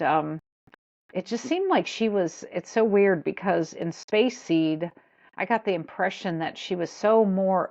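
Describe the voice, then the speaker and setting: Gravelly low woman on a dull line. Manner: meandering, thinking aloud. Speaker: podcast co-host. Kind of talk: casual review chat.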